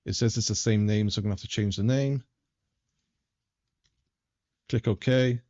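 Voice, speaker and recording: Low, mellow male tutorial narrator, muffled recording. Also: a little slow. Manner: plain, methodical instruction. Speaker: software tutorial presenter. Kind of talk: step-by-step screen tutorial narration. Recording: dull, lo-fi voice-over recording.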